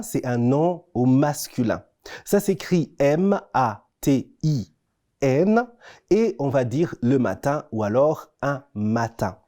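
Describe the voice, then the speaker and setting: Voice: medium pitch, warm tone. A presenter giving a scripted, explanatory lesson in a didactic way, stressing key words. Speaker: presenter of an educational French-language TV segment. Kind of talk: scripted teaching narration, explanatory.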